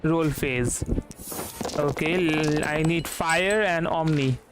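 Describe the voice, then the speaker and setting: A man's voice: fairly high pitch, takes it slowly, rough recording. A man giving casual game stream commentary, musing aloud and repeating himself hesitantly. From India. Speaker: livestreamer. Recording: game music behind the voice. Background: game music.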